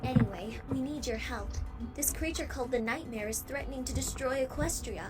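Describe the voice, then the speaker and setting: A woman playing an earnest, modest, curious pony princess in an animated audio drama. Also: sits high, a little fast, close to an everyday voice. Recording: music under the voice.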